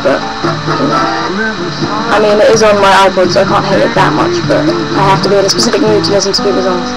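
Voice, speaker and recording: An eighteen-year-old woman with a croaky morning voice, chatting restlessly and half asleep into a webcam in her small bedroom. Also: fairly even intonation, fast talker. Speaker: vlogger. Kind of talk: casual morning webcam chat. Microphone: built-in webcam mic. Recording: low-quality webcam audio with loud music behind. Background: loud music playing.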